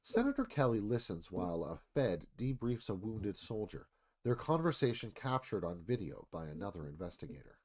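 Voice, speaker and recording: A man's voice: fairly low pitch, sing-song, moderate pace, a little mellow. A man reading screenplay stage directions aloud as scripted narration.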